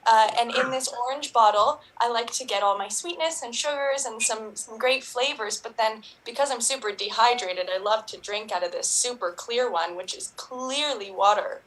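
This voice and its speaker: A woman performs a comic impression in an improv game. Her voice sits fairly high, and she keeps stalling on fillers and restarts as she goes. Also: fairly bright tone, low-quality recording. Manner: halting, filler-heavy delivery.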